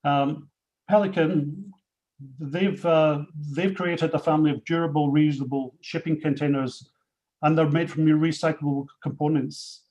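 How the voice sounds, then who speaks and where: A man's voice: fairly high pitch, steady tone, a little slow, darkish tone, dull audio. Scottish man, hesitant webinar speaker. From Scotland. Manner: hesitant, many fillers and restarts. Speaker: CEO presenting industry awards. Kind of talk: webinar remarks, unscripted.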